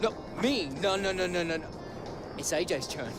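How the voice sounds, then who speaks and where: An actor playing a giddy, reckless stoner in a video game, excitable and mock-pompous in manner. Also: male voice, high voice, moderate pace, music in the background. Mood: blithe, excitable. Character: giddy, reckless drug-experimenting stoner man, mock-grand. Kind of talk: video game cutscene acting.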